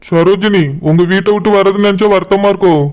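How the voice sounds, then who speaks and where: A man's voice: high-pitched, flat delivery, fast talker, clean tone, natural voice. A man playing a curt young bridegroom in a comic village cartoon, with a Kanyakumari Tamil accent. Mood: reassuring.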